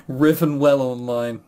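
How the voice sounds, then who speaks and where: A man's voice: mid-range voice, steady tone, slow pace, dark tone. A man hosting a casual quiz, chuckling and teasing as he banters. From a Western country. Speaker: quiz host.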